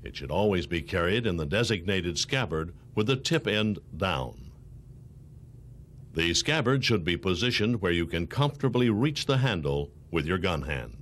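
A man with an American accent reads scripted instructional narration in a formal, measured, authoritative way. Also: deep voice, very animated, fairly bright tone, slight background noise. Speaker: training film narrator.

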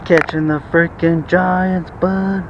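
A man's voice: high-pitched, little pitch movement, slow pace, gravelly. Muttering man fishing outdoors, muffled cam audio. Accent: American. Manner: offhand, self-mocking, mutters to himself and the fish. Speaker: fishing vlogger. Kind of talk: casual running commentary while fishing. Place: outdoors at a weedy pond bank. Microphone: chest or head-mounted action camera. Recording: muffled action-camera audio with outdoor noise.

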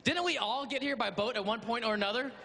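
A man born in Vietnam and raised in America, doing an energetic stand-up set on a live stage with a handheld mic. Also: extremely high pitch. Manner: energetic, fast riffing with laughs. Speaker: stand-up comedian. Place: comedy stage before a live audience. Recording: loud crowd and room noise.

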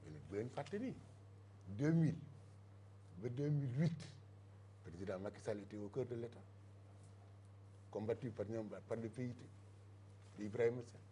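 A man's voice: medium pitch, moderate pace, pauses often, warm tone, a little hiss or hum. An older Senegalese man speaking emphatically as a guest in a televised political debate, on a lapel mic in a studio. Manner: emphatic, mixing Wolof and French. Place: TV studio set.

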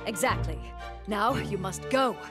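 A woman voicing a flustered cartoon creature in authority, theatrical and exclamatory, in an animated game cutscene. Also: medium pitch, unhurried, close to an everyday voice. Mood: grave, resolute. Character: flustered, dramatic female long-snouted creature, official in charge. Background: music.

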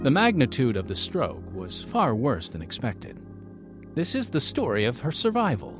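American man, radio announcer, theatrical, emphatic, mid-pitched, scripted narration, clear music bed. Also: huge pitch swings, normal speed.

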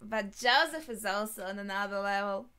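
A young adult woman giving spontaneous reaction commentary, thinking aloud in short, halting remarks. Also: medium pitch, pushed and bright, clean tone. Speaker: anime reaction vlogger. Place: small bedroom, indoors.

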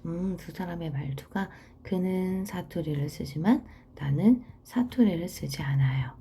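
A woman teaching literature, reading a story aloud and explaining it in a soft, half-whispered delivery. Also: fairly low pitch, unhurried, husky.

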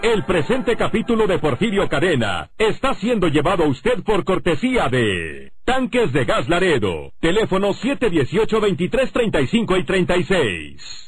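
A male Mexican radio announcer reads sponsor spots in a fairly high, animated voice. Faint music plays behind him. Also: normal speed, breathy edge.

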